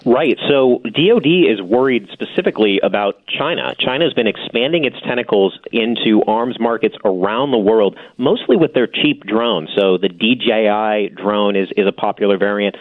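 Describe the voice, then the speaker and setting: A man reporting as a defense correspondent, explaining policy in a measured, explanatory way. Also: on the low side, narrow, tinny audio. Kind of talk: news analysis.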